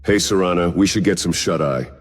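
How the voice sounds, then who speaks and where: A man's voice: deep voice, brisk, husky. A man voicing a terse male adventurer hero in a fantasy game. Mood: plain. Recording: hall reverb, faint music behind.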